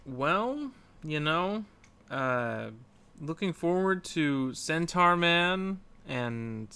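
A man co-hosting a casual gaming podcast, speaking in a halting, hesitant way. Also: fairly high pitch, sing-song, sharp tone, smooth. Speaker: podcast co-host. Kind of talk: casual video game podcast chat.

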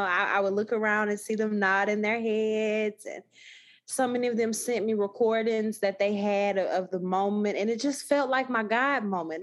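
A woman with a thick Texas accent, talking as a guest in a casual podcast conversation, animated and emphatic with frequent laughter. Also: mid-range voice. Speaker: guest, new scholar.

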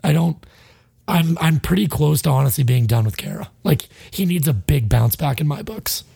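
Gravelly, husky man ranting about hockey. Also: a little high, lively intonation, normal speed, mellow, dry recording, clean studio sound. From Canada. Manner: frustrated, blunt, swears freely. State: stuffy, sinusy. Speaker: podcast co-host. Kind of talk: casual sports podcast rant.

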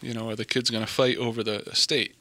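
Even-toned American man, bright dry voice. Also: mid-range voice, fast, no echo. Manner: informal, matter-of-fact. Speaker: estate planning lawyer, interview guest. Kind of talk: conversational expert explanation.

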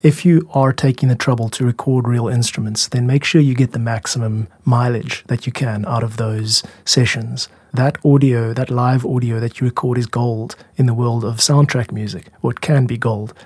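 Guy doing a music podcast, bit husky, explains stuff honestly, very dry room. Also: mid-range voice, steady tone, moderate pace, hardly any pauses, a little mellow, dry recording. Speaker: music podcast host and composer.

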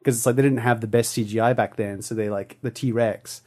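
Rambling young podcast host. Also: male voice, medium pitch, steady tone, fairly quick, a little mellow. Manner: rambling, filler-heavy, enthusiastic. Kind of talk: casual podcast chat.

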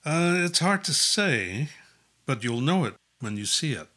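Vague priest, animated delivery. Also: male voice, fairly high pitch, a little slow, very noisy. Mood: evasive. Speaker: actor in an audio play. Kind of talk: naturalistic audio drama dialogue.

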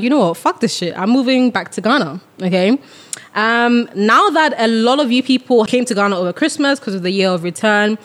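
Young woman podcast co-host, frank storyteller who laughs easily, mid-pitched voice. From England. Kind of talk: casual chat.

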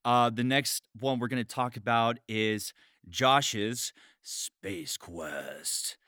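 A man hosting a casual podcast interview, bantering and excitable, swearing freely. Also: medium pitch, takes it slowly, no echo, studio quality. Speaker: podcast host.

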